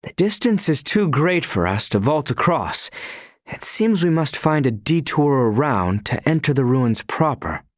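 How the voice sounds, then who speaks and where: Game role: dutiful royal attendant, stern and courtly, slightly high voice, very animated pitch, dry recording. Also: male voice, unhurried, no echo. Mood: measured, cautionary. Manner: formal, archaic, courtly diction. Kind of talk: video game character voice lines.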